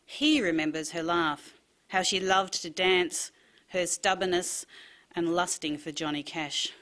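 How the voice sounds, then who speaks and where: A woman's voice: fairly low pitch, a little slow, fairly bright tone. A woman reading short personal literary pieces as a writer. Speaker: writer reading own work.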